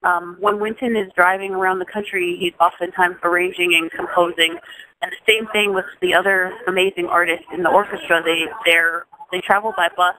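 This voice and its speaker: A woman's voice: fairly low pitch, steady tone, fairly quick, background noise. A woman in her thirties, a singer from Jamaica, speaking as an interview guest in a thoughtful, casual way, restarting phrases often. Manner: thoughtful, with frequent restarts and soft laughs.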